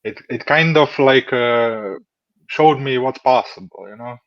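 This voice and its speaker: A man in his thirties from Latvia, answering interview questions in a relaxed, rambling way with many fillers. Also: medium pitch, a little slow, dry recording. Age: 30s. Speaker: funded trader, guest. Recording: dull dry lo-fi audio.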